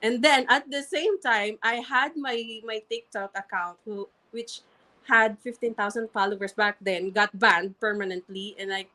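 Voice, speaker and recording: This woman speaks very slowly and deliberately, with a strong non-native accent in her English. As an interview guest she tells her story earnestly, looping back over her words and restarting her phrases. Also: fairly high pitch, pushed and bright. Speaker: interview guest, registered nurse and online marketer. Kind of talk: candid personal story in conversation.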